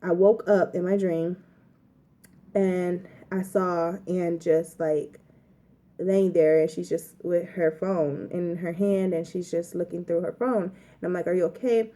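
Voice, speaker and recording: A young woman with a low, mellow voice recounts a story to her camera. She is chatty and rambles, filling her talk with "like" and "you know". It sounds like a casual vlog. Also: fairly even intonation, a little slow. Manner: chatty, lots of "like" fillers, rambling retelling. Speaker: vlogger.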